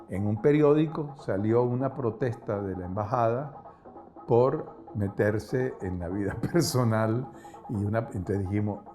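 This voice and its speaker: An older man recalling past events in an interview, haltingly searching for words. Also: medium pitch, background noise. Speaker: interviewee, former guerrilla radio member. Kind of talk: spoken recollection in interview.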